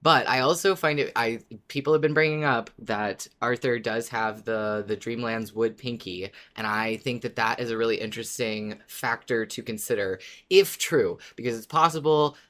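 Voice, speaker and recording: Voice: medium pitch, moderate pace, hardly any pauses, a bit bright. A podcast co-host discussing fan theories casually, reasoning conversationally with many qualifications.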